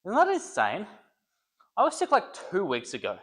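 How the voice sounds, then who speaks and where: A man's voice: high-pitched, wildly swooping pitch, normal speed, fairly bright tone, husky. A young man, a personal trainer, chatting casually and joking while he works out, a little congested from a cold. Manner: rambling, joking, thinks aloud between sets. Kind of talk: casual workout vlog talk.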